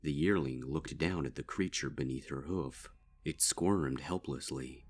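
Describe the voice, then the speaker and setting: A man giving grave, measured narration of a dark fan story. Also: extremely low pitch. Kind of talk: dark fanfic audiobook narration.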